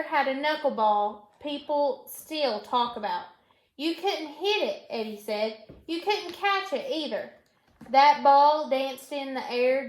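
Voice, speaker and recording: A woman teacher reading a storybook aloud in an instructional way, pausing to put questions to her pupil and repeat answers. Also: sits high. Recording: echoey room.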